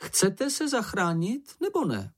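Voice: medium pitch, wildly swooping pitch, breathy edge, pitched above the performer's own voice. A storybook reader voicing an earnest young male creature who is fussy, pedantic and easily exasperated.

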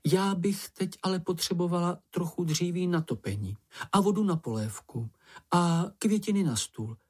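A storybook reader voicing a practical, sweet, slightly vain young female creature with a brisk, ladylike manner. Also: medium pitch, a little mellow, husky, pitched above the performer's own voice, natural voice. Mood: composed.

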